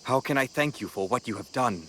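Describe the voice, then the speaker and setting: An actor playing a courteous, dignified samurai lord speaking formally in a video game drama. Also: male voice, mid-range voice, animated, fairly quick, a bit bright, a little hiss or hum, lightly acted. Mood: grateful, formal.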